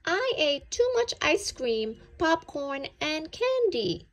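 Woman putting on an excitable high-pitched little boy bunny. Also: slow pace, heavily acted. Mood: whiny. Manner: exclaiming, dramatic. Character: excitable young boy bunny, cheeky and easily scared. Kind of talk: children's storybook character voice.

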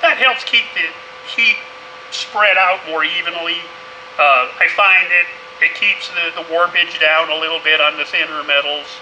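A man's voice: a little high, steady tone, moderate pace, pushed and bright, slight background noise, poor audio. A middle-aged man giving a casual, folksy welding demonstration in his workshop, full of "you know"s. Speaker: welding instructor. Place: indoor metal workshop.

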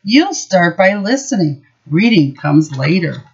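A woman voicing a patient, reassuring mother mouse in a picture-book story, in a gentle, instructive way. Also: fairly low pitch, very animated, unhurried, creaky, husky, lo-fi sound, heavily acted.

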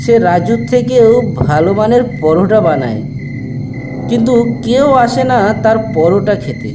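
A man voicing a resentful older village man who complains and fires off rhetorical questions in an animated horror story. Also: high voice, normal speed, over music, poor audio. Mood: bitter, grumbling.

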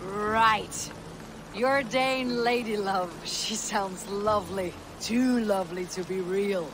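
A battle-hardened Viking warrior woman from a video game, acted by a woman. She speaks with solemn, measured authority and a husky edge, as if reciting verse. Faint noise sits behind her. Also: medium pitch, natural voice. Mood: wry, gentle. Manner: measured, declamatory, grave. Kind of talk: dramatic video game acting.

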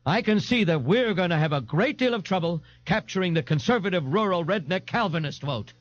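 A man's voice: high-pitched, expressive, brisk, no echo, dull audio, voice pushed up. A man voicing a pompous, indignant fat man who speaks in a grave, grandiloquent, formal way in an audiobook. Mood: appalled.

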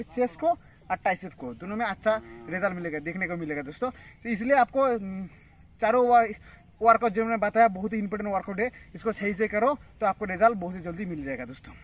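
High-voiced young man coaching outdoors. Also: fairly bright tone. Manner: talks while lifting, counts reps aloud, many fillers. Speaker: fitness instructor. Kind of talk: casual workout demonstration. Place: open farm field outdoors. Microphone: camera at a distance. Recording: distant outdoor camera audio, faint noise.

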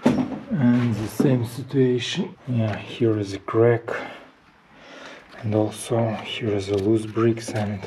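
A man in his thirties filming himself inside a brick farmhouse he is renovating, explaining the work in a plain, halting way on a handheld camera. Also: fairly low pitch, fairly even intonation, background noise. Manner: plain, halting, stops to point out details. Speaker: home renovator. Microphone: action camera held at arm's length.

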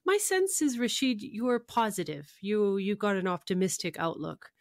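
A woman's voice: mid-range voice, expressive, moderate pace, noisy background. A woman hosting a conversational radio interview.

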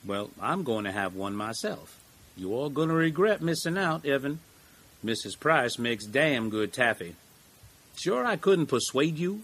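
A man performs a middle-aged small-town lawyer for an audio drama. The voice is husky and swings widely in pitch. He is genial and eccentric, taking his time like a folksy storyteller. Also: lively intonation, fairly bright tone, exaggerated voice. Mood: genial, coaxing. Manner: folksy, rambling, deliberate storyteller.

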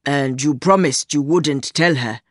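A woman voicing an older rich man, a smooth, condescending and fatherly secret lover in an audiobook story. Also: low-pitched, a little slow, dry recording, studio quality, pitched below the performer's own voice. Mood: stern, dismissive. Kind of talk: acted dialogue in audiobook story.

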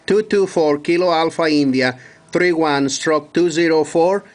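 A man with a fairly high voice works a two-way radio contact. He is from Mexico, speaks courteously and repeats key details and call signs. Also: unhurried. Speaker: radio operator.

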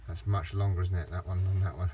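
A middle-aged man with a low voice, rambling through a casual hands-on garden demonstration with lots of restarts. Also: steady tone, quick pace, warm tone, slight background noise. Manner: rambling, with many restarts and fillers. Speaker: DIY vlogger. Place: outdoors in a garden.

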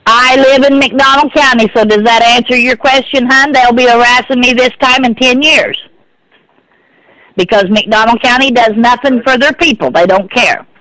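A woman's voice: on the high side, normal speed, fairly bright tone, dry recording. A middle-aged woman, speaking insistently and repeating herself in exasperation on a phone call. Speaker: prank call victim. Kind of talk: phone conversation.

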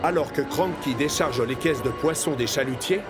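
A man narrates a children's cartoon story. His voice sits at a medium pitch, with music clearly playing behind it. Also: normal speed.

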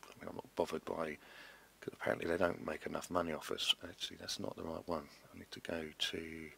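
A middle-aged man, hoarse after flu, rambling in exasperation over a screen-recorded channel update. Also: deep voice, level tone, a little fast, a bit bright. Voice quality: gravelly, husky. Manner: rambling, exasperated, many restarts. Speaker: YouTuber. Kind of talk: casual screen-recorded commentary.

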